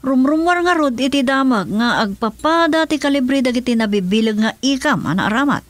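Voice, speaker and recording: A woman acts an inquisitive, expressive character in a radio drama. Her voice is slightly high. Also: brisk. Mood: curious, concerned. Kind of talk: radio serial drama acting.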